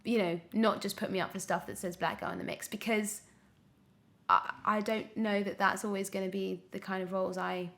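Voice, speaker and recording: Young female actress as podcast guest, gravelly creak, reflective and halting, conversational delivery. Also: mid-range voice, steady tone, fairly bright tone. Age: young adult. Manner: hesitant, reflective, thinking aloud. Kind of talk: candid casual interview conversation.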